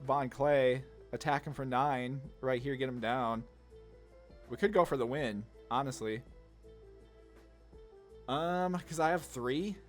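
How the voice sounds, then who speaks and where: A man giving casual gameplay commentary, thinking aloud in a laid-back, slangy way. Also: mid-range voice. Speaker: card game video host. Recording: music under the voice. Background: music.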